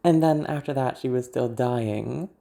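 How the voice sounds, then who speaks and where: A speaker with a naturally gravelly voice that slips into a soft growl as words are held, set in a dark, mellow tone. This ASMR creator talks straight to viewers in a candid, rambling, self-deprecating way, like a casual personal update. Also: mid-range voice, measured pace.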